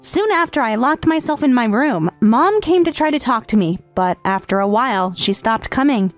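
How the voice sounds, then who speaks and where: A high, youthful voice for a grieving manga step-sister, acted by a woman. She confesses earnestly and hesitantly, with guilt and tenderness. Soft background music runs beneath the dramatic narration. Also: close to an everyday voice. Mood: self-loathing. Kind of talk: dramatic first-person narration in manga dub.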